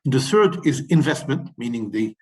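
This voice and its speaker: Man, webinar panelist, measured policy talk, hesitant, frequent fillers. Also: medium pitch, animated. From Morocco. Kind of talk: policy talk, numbered key messages.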